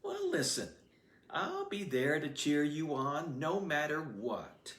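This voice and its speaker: A man's voice: on the high side, sing-song, slow pace, a bit bright, recorded from a distance, heavily acted. A man voicing a shy, bashful teenage boy who stammers and hesitates, read aloud in an echoey room. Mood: encouraging.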